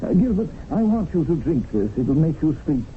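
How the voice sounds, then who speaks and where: Placating radio drama caretaker, kinda high voice, hesitant, tinny slightly noisy sound. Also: male voice, sing-song, moderate pace. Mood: placating, doubtful. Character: soothing, hesitant, doubtful male caretaker. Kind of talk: old radio drama acting. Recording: tinny old radio broadcast, faint noise.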